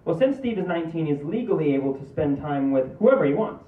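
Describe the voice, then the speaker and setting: A man playing a flustered, excitable male lawyer who is quick to argue, in a comedy table read. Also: on the high side. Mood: eager. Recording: distant, roomy, tinny recording with hiss.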